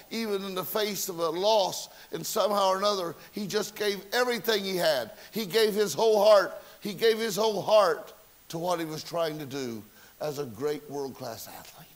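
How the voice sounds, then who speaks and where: A man preaching a sermon, telling folksy stories and asking the congregation rhetorical questions. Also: sits high. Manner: folksy storytelling with rhetorical questions, self-mocking. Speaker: pastor. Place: church sanctuary.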